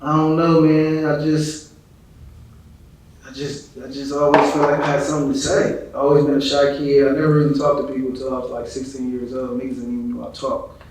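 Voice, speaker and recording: A man's voice: mid-range voice, completely flat delivery, brisk, a little mellow. A man from Chicago talking casually as an interview guest, with slangy, profane phrasing and frequent verbal tags. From Chicago, United States. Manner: slangy, profane, frequent "you know what I'm saying". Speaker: interview guest, rap artist. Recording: echoey room, distant from mic.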